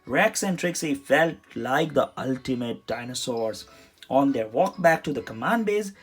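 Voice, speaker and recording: Children's read-aloud narrator: medium pitch, slightly husky, scripted storybook delivery. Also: animated, measured pace. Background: music under the voice.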